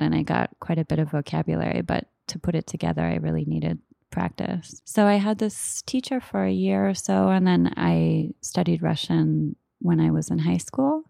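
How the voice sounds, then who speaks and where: An American woman in her thirties telling personal stories in a measured, thoughtful way, often pausing mid-phrase. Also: low-pitched, creaky, warm tone, no echo, clean studio sound. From Oklahoma, United States. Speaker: author and literary translator. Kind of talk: reflective personal storytelling.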